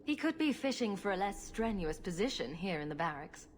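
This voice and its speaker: Actress as a dry, sarcastic rogue heroine. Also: female voice, medium pitch, very animated, a little fast, slight background noise, lightly acted. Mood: dry, teasing. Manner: dry, wry. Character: sarcastic, self-assured female rogue hero. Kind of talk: video game voice acting.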